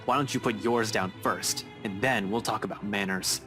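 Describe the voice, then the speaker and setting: A man voicing a defiant, tough young man answering back in a low, quiet way. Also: moderate pace, soft background music.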